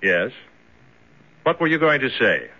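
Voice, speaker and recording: Forceful, condescending boss captor. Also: male voice, medium pitch, sing-song, a little slow, full character voice. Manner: firm, patronizing. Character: cold, condescending male captor in charge. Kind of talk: 1970s radio drama acting. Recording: dull vintage radio recording.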